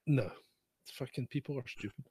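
A man's voice: mid-range voice, moderate pace, warm tone, breathy edge, no room sound. A man from England in casual chat, wry and sighing, with some swearing.

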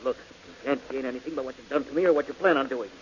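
A man plays a resentful, cornered killer who grows more threatening the longer he talks. He speaks fast, and his voice sits in a middle range. The old broadcast is dull, with a light hiss. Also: mid-range voice, close to an everyday voice. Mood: menacing. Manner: defensive, rising to angry threats. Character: bitter, hunted male murder suspect. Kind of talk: 1940s radio acting.

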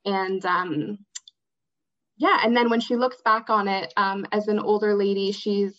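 A woman's voice: medium pitch, steady tone, a little slow, fairly bright tone. A woman chatting casually as an interview guest about acting work. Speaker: interview guest, theatre actor and outreach manager. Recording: slightly dull, lo-fi audio.

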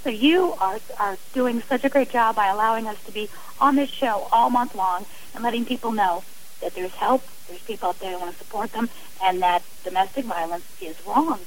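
High-voiced woman, earnest radio guest, slight hiss. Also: normal speed, low-quality recording. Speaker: radio interview guest, advocate against domestic violence. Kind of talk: earnest radio interview talk. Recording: hissy radio interview audio.

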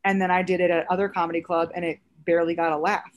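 A woman around thirty with a raspy voice, a stand-up comedian chatting casually as a podcast guest with lots of fillers. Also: fairly low pitch, fast. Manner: chatty, animated storytelling with many fillers.

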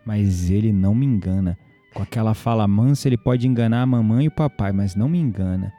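An actor playing an intrigued, gently teasing young Brazilian man in an audio drama. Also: fairly low pitch, a little fast, no echo, soft background music, natural voice. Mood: solemn reading.

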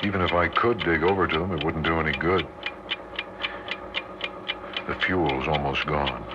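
An actor playing a weary, steady American father who explains things in a measured, plain-spoken way in a radio drama. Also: very deep voice. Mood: grim, resigned. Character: weary, steady, reassuring father sheltering his family underground. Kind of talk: 1960s radio drama acting. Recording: muffled 1960s radio recording, noisy.

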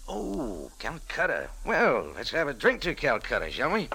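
An actor playing a tough, wry American cafe owner with a hard-boiled manner, in 1940s radio style. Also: male voice, a little high, huge pitch swings, moderate pace, creaky, sharp tone, breathy edge, heavily acted. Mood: cool, wry. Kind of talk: 1940s radio acting with first-person narration.

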